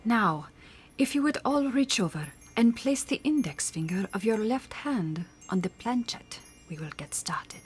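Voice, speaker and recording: A woman's voice: medium pitch, animated, a little slow, soft background music, close to an everyday voice. A woman playing a formal, commanding and mysterious spirit medium in old-time radio drama style. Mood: solemn, commanding. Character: solemn theatrical female spirit medium.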